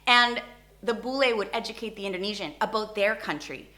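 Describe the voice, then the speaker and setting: A woman hosting a vlog, giving a casual, opinionated rant in an animated, informal way and mixing Indonesian phrases into her English. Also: medium pitch, brisk. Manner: animated, informal, acts out short imagined exchanges. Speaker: YouTube host.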